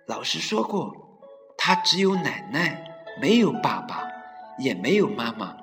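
A man's voice: medium pitch, big pitch swings, unhurried, voice pushed up. A man voicing a bossy little child in a children's storybook. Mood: scolding. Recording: reverberant with background music.

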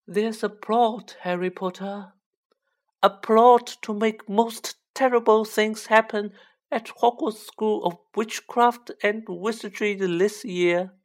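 A woman voicing a small male house-elf for a fantasy audiobook, a squeaky, humble, anxiously devoted servant who pleads with drawn-out emphasis. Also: fairly even intonation, natural voice. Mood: fearful warning. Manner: pleading, drawn-out, emphatic delivery in third person. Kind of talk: children's fantasy audiobook character voice.

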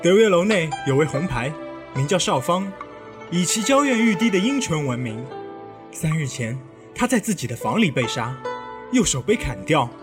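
A man's voice: a little high, very animated, moderate pace. A man playing an earnest, well-bred young master, an official's son, in a period audio drama. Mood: grave, intriguing. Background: music.

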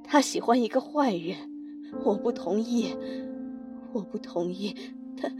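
An elderly, muddled mother in a radio drama. She rambles very slowly and wistfully, almost like a child, a little away from the mic over clear background music. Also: medium pitch, expressive, recorded from a distance. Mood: wistful, confused. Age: older. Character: confused elderly mother in a psychiatric hospital, wistful. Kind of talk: Chinese audio drama acting.

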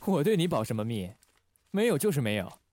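An actor playing a suave, calculating male intelligence station chief in a Republican-era spy radio drama, speaking in a smooth, measured, teasing manner. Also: medium pitch, big pitch swings, a little mellow, no room sound. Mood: guarded.

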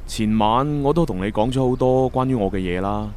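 An actor playing an earnest, analytical young time agent in a Cantonese sci-fi radio drama, with a Hong Kong accent. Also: male voice, medium pitch, fairly quick, darkish tone, slight background noise, natural voice. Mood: probing, earnest.

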